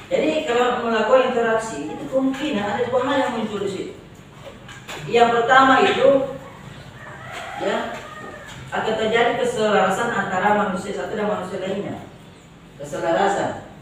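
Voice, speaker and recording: A man's voice: high-pitched, fairly bright tone, far from the mic. A male law lecturer with a mixed Makassar and Javanese Indonesian accent, teasing his students and tagging his sentences with "ya". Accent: Indonesian, mix of Makassar and Javanese. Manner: teasing students, frequent "ya" tags. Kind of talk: joking classroom lecture. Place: university lecture room. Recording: echoey classroom from afar, noisy.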